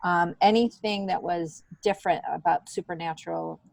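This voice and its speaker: A woman's voice: lowish voice, steady tone, moderate pace, creaky, no room sound. A middle-aged woman, a stand-up comedian, talks casually and candidly as a podcast guest, in an informal, filler-heavy way. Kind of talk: casual conversational chat.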